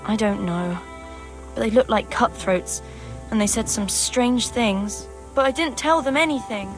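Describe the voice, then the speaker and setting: Worried protective village girl in a game, highish voice, soft music behind. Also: unhurried, poor audio. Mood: guarded, worried. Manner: guarded, plain-spoken. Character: wary, protective young peasant woman, worried sister. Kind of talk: video game cutscene acting.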